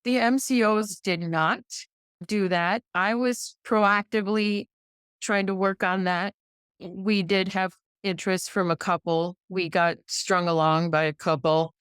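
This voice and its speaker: A woman's voice: mid-range voice, fairly even intonation, a little slow, fairly bright tone, clear, resonant tone, dry recording, studio quality. A middle-aged American woman talking emphatically in a conversational interview, often pressing points with repetition and rhetorical questions. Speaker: podcast guest, pediatrician.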